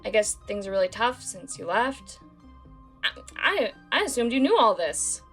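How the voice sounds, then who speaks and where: A woman playing a plucky, excitable, flippant teenage girl in an audio drama. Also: medium pitch, moderate pace, soft background music. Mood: hesitant, surprised.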